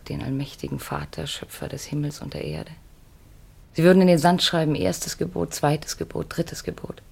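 An actress in a 1950s German radio play voicing an earnest, wistful middle-aged mother on the run, speaking in measured, pleading short phrases. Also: sits low, vocal fry, a little mellow, husky, exaggerated voice. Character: Marianne, earnest, wistful fugitive mother of grown children.

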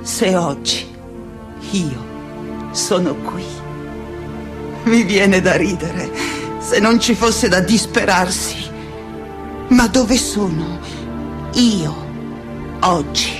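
An actress playing a reflective, passionate woman scholar in a lyrical theatrical monologue, with deliberate dramatic pauses. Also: medium pitch, animated. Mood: wistful. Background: music.

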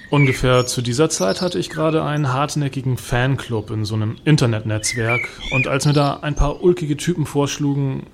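A man's voice: mid-range voice, close to an everyday voice. Man playing a wry artist, noisy recording with birdsong. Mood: wry, mock-earnest. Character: provocative male performance artist, smugly recounting his political awakening. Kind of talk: first-person satirical radio play monologue.

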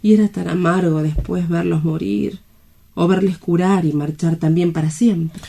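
An actress plays a desperate young woman in a radio drama. Her voice is very mellow and dark in tone. She speaks with fearful, wounded intensity, as someone worn down by hunger and loneliness. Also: lowish voice, fairly even intonation, normal speed, gravelly. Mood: bitter, sorrowful. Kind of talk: stage-like radio drama acting.

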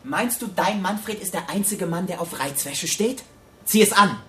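An actor playing a brutal, domineering armed robber in a radio drama, crude and threatening, barking commands. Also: male voice, high voice, normal speed, a bit bright. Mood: menacing, irritable.

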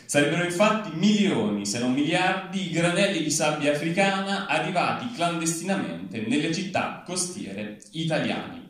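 Echoey, higher-voiced man reading mock news. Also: expressive, normal speed, few pauses, a bit bright. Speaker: satirical newsreader on stage. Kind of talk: mock TV news bulletin read live. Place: large echoey venue at a live reading event. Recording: very echoey hall, distant, faint noise.

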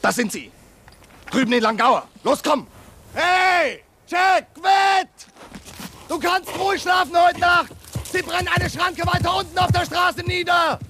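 An actor playing a blunt rank-and-file dragoon of the militia in a radio drama. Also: male voice, very high voice, a little slow, sharp tone, slight background noise, exaggerated voice. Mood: excited, shouting.

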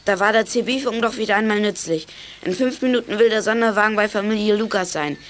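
A woman's voice: mid-range voice, fairly quick, a little hiss or hum, lightly acted. A woman playing an eager, conscientious schoolboy radio amateur in a German children's radio play. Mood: cheerful, concerned.

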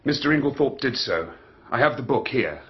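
An actor playing a nervous, hesitant, polite witness at an inquest in a radio drama. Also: male voice, mid-range voice, expressive, moderate pace, lightly acted. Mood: nervous, deferential. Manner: hesitant, deferential. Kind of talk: British radio drama acting. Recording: dull, band-limited radio drama recording.

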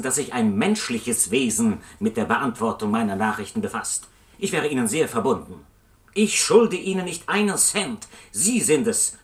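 An actor playing an aggrieved customer in a radio play, dictating complaint letters with formal, pointed politeness. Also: male voice, mid-range voice, lightly acted. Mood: irritated, indignant.

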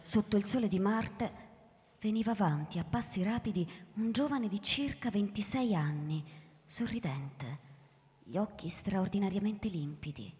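A woman narrating a radio drama in a theatrical, scripted style that swells and hushes. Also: mid-range voice, lively intonation, a little slow. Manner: theatrical, swelling and hushing with the scene. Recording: reverberant hall, faint music behind.

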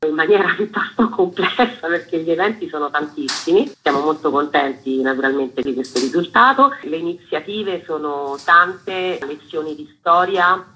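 A man's voice: fairly high pitch, pushed and bright, poor audio. A man explaining things formally as a guest in a radio interview, listing items in orderly fashion. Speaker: festival organiser, interview guest.

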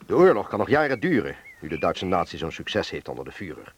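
An actor playing an earnest middle-aged factory director in a Dutch wartime radio drama, speaking in a measured, explanatory way. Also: male voice, sits low, very animated, slightly rough, exaggerated voice. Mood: grave, urgent. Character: earnest male factory director defending collaboration in wartime Netherlands.

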